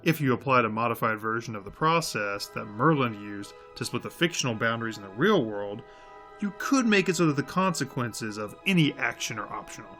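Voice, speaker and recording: Man acting a sardonic, forceful-voiced agent. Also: mid-range voice, animated, a little fast, soft background music. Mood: grave explaining. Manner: sardonic, world-weary, emphatic. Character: gruff, sardonic male agent leading a resistance. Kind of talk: audio drama acting.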